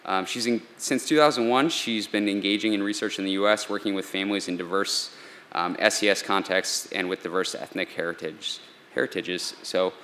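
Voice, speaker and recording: A man with a low voice reads out a speaker's introduction at a symposium. He pauses on frequent "um"s. Faint noise sits behind him. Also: steady tone, sharp tone.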